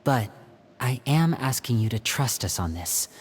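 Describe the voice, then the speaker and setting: A man playing a composed, loyal young operative in a video game, measured and earnest as he leads. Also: mid-range voice, big pitch swings, slightly rough, long reverb tail. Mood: earnest, firm. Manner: measured, explanatory, firm. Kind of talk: video game character dialogue.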